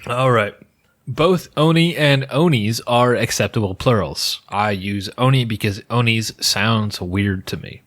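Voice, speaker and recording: A man's voice: lowish voice, measured pace, fairly bright tone. A man co-hosting a podcast, presenting folklore research in a loose, jokey way with restarts. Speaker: podcast co-host. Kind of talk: casual podcast chat, presenting researched lore.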